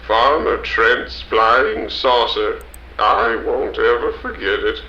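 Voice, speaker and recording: A man's voice: mid-range voice, slow pace, roomy sound, lo-fi sound, noisy background, natural voice. A man playing a nostalgic old small-town man who rambles and stammers fondly in an audio drama. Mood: excited, fond. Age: older.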